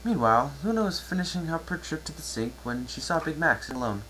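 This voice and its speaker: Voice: mid-range voice, animated, fast talker, a bit bright, slight background noise, poor audio. An amateur narrator giving a theatrical dramatic reading of fan fiction.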